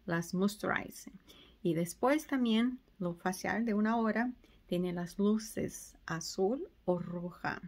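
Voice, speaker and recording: A woman in her forties with a Portuguese accent, explaining skin treatments in a chatty, casual way. She talks into a phone held at arm's length in a small treatment room. Her pitch sits in a middle range for a woman. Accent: Brazilian Portuguese. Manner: chatty, mixes English words into her Spanish. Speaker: esthetician. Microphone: phone camera at arm's length.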